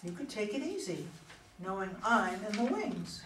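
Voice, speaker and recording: A woman's voice: medium pitch, sing-song, normal speed, lightly acted. A woman playing a smug, ambitious younger female politician in a play reading, self-assured and calculating. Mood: persuasive. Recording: echoey room, distant, noisy.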